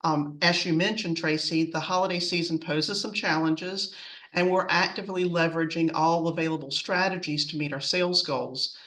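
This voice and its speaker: A woman's voice: fairly low pitch, fairly even intonation, moderate pace, a bit bright. Woman giving a business presentation, slightly distant mic. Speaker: corporate customer presenter in a webinar. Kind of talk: semi-scripted business presentation.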